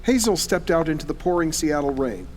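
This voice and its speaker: A man narrating a scripted horror mystery in a measured, literary, ominous manner, as the narrator of an audio drama. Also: fairly high pitch, animated. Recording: long reverb, music behind. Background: faint music.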